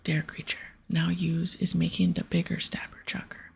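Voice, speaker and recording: A woman plays a blunt, simple-minded ogre from a fantasy video game. The voice is hushed, husky and creaky, talking in gruff broken English over soft music. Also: on the low side, flat delivery, normal speed, whispered, full character voice. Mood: proud. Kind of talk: game dialogue read aloud in ASMR.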